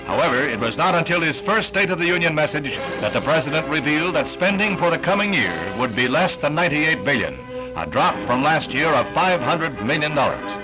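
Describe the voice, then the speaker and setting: A man's voice: fairly high pitch, very animated, brisk, rough recording. 1960s American newsreel man over prominent music. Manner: formal, declamatory announcer delivery. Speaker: newsreel narrator. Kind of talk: scripted 1960s newsreel narration. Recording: vintage 1960s newsreel soundtrack. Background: orchestral newsreel music.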